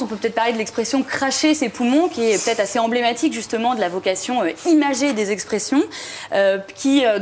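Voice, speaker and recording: A woman's voice: a little high, a little mellow, a little hiss or hum. A young woman with a French accent explaining the meaning and history of words in a TV studio segment, with many "euh" and "alors". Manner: fast, explanatory, frequent "euh" and "alors", light laughs. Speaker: language columnist.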